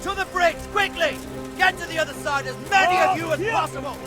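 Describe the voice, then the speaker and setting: An actor playing a fierce medieval commander, shouting urgent battle orders in a video game. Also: male voice, extremely high pitch, fairly quick. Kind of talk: dramatic video game acting. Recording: music behind the shouting.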